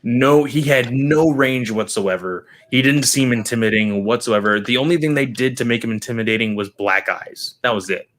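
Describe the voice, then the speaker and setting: A man's voice: mid-range voice, normal speed, hardly any pauses, fairly bright tone. Blunt young man giving movie takes. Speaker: podcast co-host. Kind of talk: casual chat.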